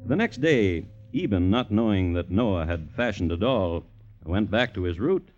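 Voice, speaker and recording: An actor narrating a dark folk tale for a classic radio drama as a wry, deliberate storyteller. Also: male voice, low voice, expressive. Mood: wry, ominous. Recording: dull old radio recording. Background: music.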